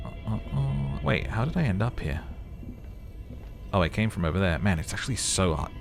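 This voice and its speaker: A man's voice: fairly low pitch, very animated, moderate pace, creaky, music in the background. A man giving casual gaming commentary as host of a playthrough, muttering to himself as he plays. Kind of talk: playthrough commentary with read-aloud game narration.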